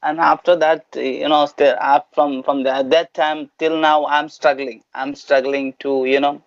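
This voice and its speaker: A man with a Gujarati Indian English accent talks on a video call, slightly high-pitched and hesitant. He restarts sentences often and leans on "you know". The call audio is dry and a bit dull. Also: level tone, unhurried, dry recording. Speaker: auto rickshaw driver, channel host. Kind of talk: casual video call conversation.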